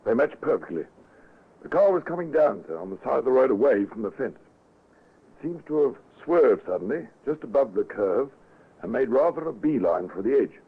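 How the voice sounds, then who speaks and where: An actor playing a deferential, observant police constable, formal and precise, in old-time radio drama style. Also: male voice, mid-range voice, sing-song, normal speed, slightly rough. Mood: earnest, precise. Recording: muffled vintage radio recording, narrow band.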